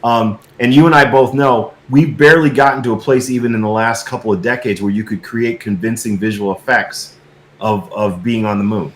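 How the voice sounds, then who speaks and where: A man in a noticeably echoey room, talking off the cuff as a guest. His delivery is halting and repetitive, and he presses his points insistently, often checking that the listener agrees. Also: lowish voice, fairly even intonation, slight background noise. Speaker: guest filmmaker. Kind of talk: casual unscripted discussion. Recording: echoey, roomy sound.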